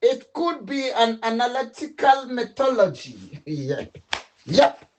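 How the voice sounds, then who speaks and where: A man's voice: extremely high pitch, big pitch swings, a little slow. A middle-aged man giving an impassioned political appeal, emphatic and repetitive, switching between English and Dutch. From Sierra Leone. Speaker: political commentator.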